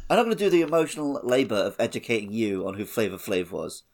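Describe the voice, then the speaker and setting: Animated male podcast co-host. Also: on the low side, fast talker. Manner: informal, conversational. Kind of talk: casual gaming podcast chat. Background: faint music.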